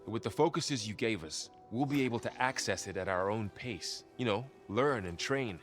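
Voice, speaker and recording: An actor playing a loyal, thoughtful young warrior in a video game, speaking in a calm, sincere, conversational way. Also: male voice, lowish voice, moderate pace, faint music behind, lightly acted. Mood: sincere, easygoing. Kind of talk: naturalistic video game cutscene acting.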